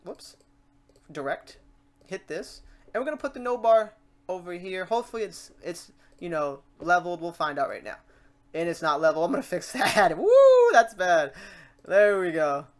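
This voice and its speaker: A woman hosting a casual software tutorial, talking informally and reassuringly to the viewer in an animated way. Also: fairly low pitch, normal speed. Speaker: tutorial host.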